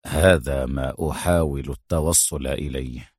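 Guy doing deep serious secret agent in an audiobook, measured, sharp, pretty dry sound. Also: slightly rough, dry recording. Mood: hushed, alert. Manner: low, measured, terse. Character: cool, sharp-witted male secret agent, frowning, serious. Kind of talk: dramatized audiobook hero.

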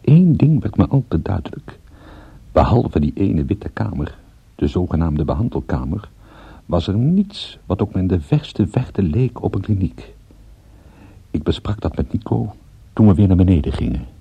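A man's voice: sits low, big pitch swings, moderate pace, creaky, breathy edge, narrow, tinny audio, exaggerated voice. An actor playing a tough, sardonic private detective in a radio play, commanding and dry in his delivery. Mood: dry narrating. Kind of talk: radio drama acting with first-person narration.

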